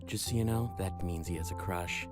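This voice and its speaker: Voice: low-pitched, normal speed, music in the background, natural voice. An actor voicing a brash, blunt, sarcastic young friend in a visual novel. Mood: teasing.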